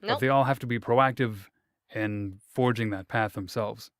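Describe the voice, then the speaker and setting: A man's voice: lowish voice, fairly even intonation, normal speed, a bit bright, no echo, studio quality. A man hosting a casual interview, thoughtful and wordy, often repeating himself and making light jokes at his own expense. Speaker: interviewer, host.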